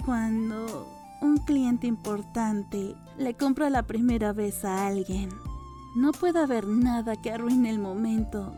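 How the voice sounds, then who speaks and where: Mellow, earnest young apothecary woman, voiced by a female narrator. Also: a little high, natural voice. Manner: earnest, deferential, blunt. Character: serious, matter-of-fact young apothecary woman. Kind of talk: audiobook character dialogue. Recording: music under the voice.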